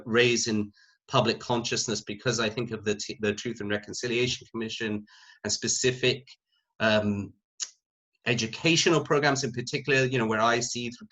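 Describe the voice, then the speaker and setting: A man's voice: fairly low pitch, fairly bright tone, low-quality recording. British male academic panelist, middle-aged. Unscripted, reflective delivery, feeling for words as he goes. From United Kingdom. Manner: reflective, halting, thinking aloud.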